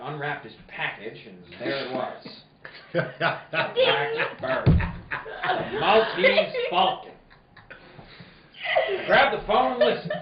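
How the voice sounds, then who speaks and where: A man's voice: fairly high pitch, far from the mic, heavily acted. A man playing a tough, wisecracking private detective in an old-time noir radio play, clipped and wry. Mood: dramatic. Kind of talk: 1940s noir radio narration and acting. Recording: echoey, dull, noisy room, off mic.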